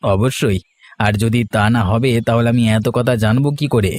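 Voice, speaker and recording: A man's voice: mid-range voice, steady tone, moderate pace, a little mellow, no room sound, natural voice. A man playing a sly, scheming, self-righteous villager in a cartoon story, with an insinuating manner. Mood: insinuating, indignant. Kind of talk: dramatic cartoon story dialogue.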